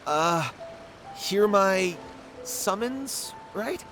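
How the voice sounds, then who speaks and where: A man's voice: high voice, expressive, extremely slow, a bit bright, full character voice. A man playing an earnest, fussy, jittery struggling writer in a video game. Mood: hesitant. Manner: fussy, pleading, hesitant. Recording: music playing behind the voice.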